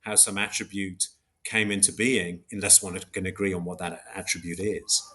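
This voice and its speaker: Male philosopher guest, lowish voice, careful and exact, restarts phrases while laying out definitions. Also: fairly even intonation, moderate pace, bright, forceful tone. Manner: hesitant, precise, self-correcting. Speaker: philosopher guest, book author. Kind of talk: academic interview, explaining concepts.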